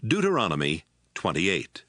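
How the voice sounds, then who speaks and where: Low bright male scripture narrator. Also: wildly swooping pitch, normal speed, no room sound, clean studio sound, faint music behind.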